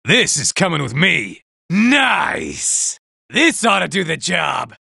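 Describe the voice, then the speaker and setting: A man's voice: a little high, sing-song, slow, gravelly, sharp tone, breathy edge, no room sound, shouting, full character voice. An actor playing a vain, boastful male robot soldier who taunts enemies and admires his own looks, in a video game. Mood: pleased, smug. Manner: smug, self-admiring, taunting. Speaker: game character. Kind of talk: video game combat barks. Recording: dry studio recording.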